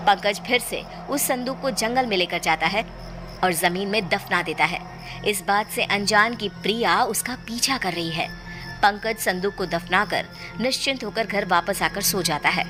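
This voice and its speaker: A woman's voice: medium pitch, normal speed. Woman narrating horror tales over music. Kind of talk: scripted horror story narration. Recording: clear music bed under the voice. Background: background music.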